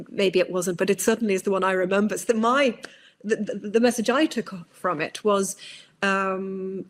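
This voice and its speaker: A Norwegian woman speaking English with a British-tinged accent, a psychologist giving long, thoughtful interview answers with many fillers and restarts. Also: mid-range voice. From Norway. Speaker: guest psychologist.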